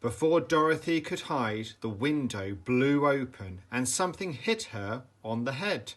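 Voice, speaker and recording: Animated man reading a children's story. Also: mid-range voice. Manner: animated, dramatic, deliberate emphasis for young listeners. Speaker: storybook reader, teacher.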